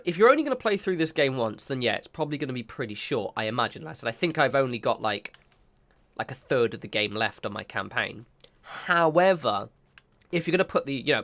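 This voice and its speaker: A man at a middle pitch talks over his gameplay in a loose, rambling way, often stopping to correct himself. He sounds a bit sniffly from a cold. It is relaxed, casual commentary into a desk mic. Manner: rambling, self-correcting, chatty asides. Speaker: gaming channel host.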